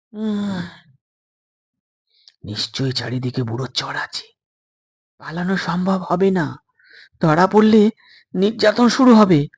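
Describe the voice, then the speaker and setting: Man playing a wary, husky young adventurer. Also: sits high, heavily acted. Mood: hushed, fearful. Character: wary, frightened young male adventurer stranded abroad.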